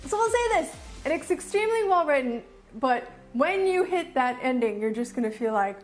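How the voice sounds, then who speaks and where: A woman's voice: high voice, expressive, normal speed, soft background music. A woman reviewing casually and off the cuff as a video host, enthusiastic and emphatic, often repeating phrases to drive them home. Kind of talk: casual unscripted review to camera.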